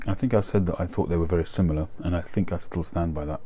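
A middle-aged man from England vlogging about pipe tobacco at his desk at home, talking in a relaxed, unhurried way with frequent ums. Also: low-pitched, slight background noise. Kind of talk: casual talk to camera.

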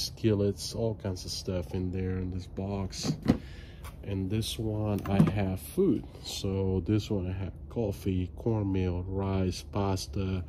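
A middle-aged man giving a casual, hesitant walkthrough of his gear as a vlogger, speaking plainly into a phone held at arm's length outdoors. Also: deep voice. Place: outdoors at night beside a truck. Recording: clearly noisy handheld outdoor recording.

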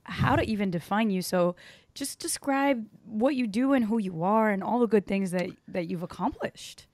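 A woman's voice: medium pitch, normal speed, a little mellow, no room sound. A woman in her thirties hosting a podcast over a video call, upbeat and chatty. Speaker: podcast host. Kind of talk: casual podcast interview. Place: home room with bookshelf. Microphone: studio mic on boom arm, video call.

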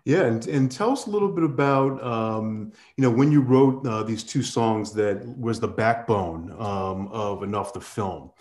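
Middle-aged moderator in an echoey room. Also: male voice, fairly low pitch, a little mellow. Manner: measured, hesitant questions with many ums. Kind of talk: interview moderating on a video call. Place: home study with bookshelves, indoors. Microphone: video call. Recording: echoey room on a video call.

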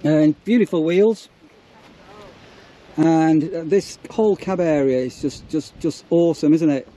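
Man, Manchester accent, enthusiastic, fairly high, mellow tone, outdoors. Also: a little slow, rough recording. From Greater Manchester, England. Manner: enthusiastic, admiring remarks. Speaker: vlogger, campsite warden. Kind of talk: casual walkaround tour. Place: outdoors at a campsite.

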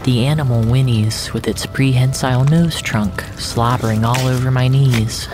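A narrator telling a surreal first-person story in a deliberate, dramatic way, with pauses. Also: mid-range voice, steady tone, background noise. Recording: loud music under the voice. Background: loud music.

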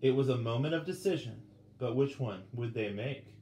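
A middle-aged man reading a children's picture book aloud with dramatic pauses, turning to the listeners with questions. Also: medium pitch, mellow. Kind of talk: expressive children's storybook read-aloud. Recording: roomy indoor sound with faint background noise.

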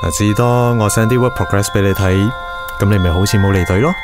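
A man's voice: fairly low pitch, steady tone, fast talker, over music, lightly acted. An actor playing a caring, reassuring young male team leader in a radio drama. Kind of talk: Cantonese radio drama acting.

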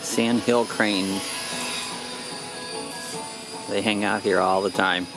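An older man chatting casually while he films outdoors at a flying field, relaxed and rambling with lots of "uh" pauses, picked up by the camera mic. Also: lowish voice, music in the background, poor audio. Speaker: vlogger filming at a model airfield. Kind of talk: casual narration while filming.

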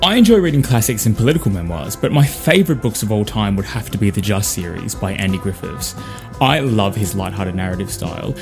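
Young aussie guy doing a podcast outro, slightly low voice, guitar music under him. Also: expressive, a little fast, gravelly. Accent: Australian. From Australia. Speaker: podcast creator and host.

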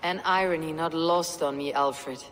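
Low-voiced proud Viking warrior woman. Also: steady tone, slight background noise. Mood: stern. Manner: measured, solemn, archaic phrasing. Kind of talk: dramatic video game acting. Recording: long hall reverb, music behind.

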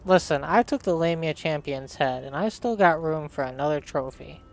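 A man's voice: a little high, normal speed, gravelly, a little hiss or hum. A man hosting a let's play, reading his character's dialogue aloud and narrating as he plays.

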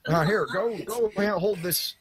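A woman's voice: medium pitch, unhurried, natural voice. A woman voicing a blunt, menacing government agent in an improvised, giggly cartoon parody. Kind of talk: improvised comic cartoon fandub. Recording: loud background noise, clicks.

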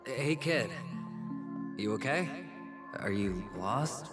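An actor voicing a flamboyant young gambler in a video game, weary, cynical and unravelling, in a theatrical, musing manner. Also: male voice, mid-range voice, animated, slow. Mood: weary, sardonic. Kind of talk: dramatic video game voice acting. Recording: game music behind the voice.

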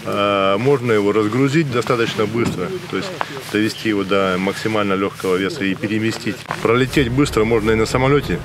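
A man's voice: lowish voice, fairly even intonation, a little fast, a crowd in the background. A man explaining how a boat was built and used in a matter-of-fact interview. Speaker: traveler interviewed. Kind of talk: casual interview answers.

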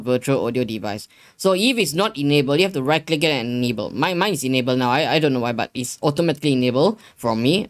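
A young adult man hosting a casual software tutorial, explaining in halting, repetitive phrases with non-native English wording. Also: on the high side. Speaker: tutorial host. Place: living room gaming setup at home.

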